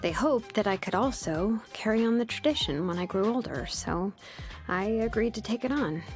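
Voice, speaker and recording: A woman voicing a laid-back, sleepy young female blacksmith and name engraver from a video game, languid and nonchalant with soft hesitations. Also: mid-range voice, animated, moderate pace, lightly acted. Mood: matter-of-fact. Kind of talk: video game character voice lines. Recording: music behind the voice. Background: music.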